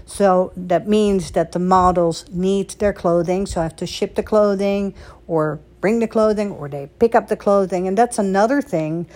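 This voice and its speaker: A woman's voice: mid-range voice, normal speed, a little mellow. A woman from the Netherlands, chatting casually and emphatically as she tells personal stories in short, punchy phrases. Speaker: boutique owner hosting a solo social media chat. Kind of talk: casual chat, personal storytelling.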